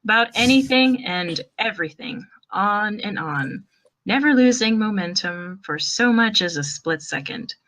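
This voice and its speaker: Bright-voiced woman narrating a story. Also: mid-range voice, animated, measured pace, slight background noise, poor audio. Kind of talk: expressive fan fiction story narration.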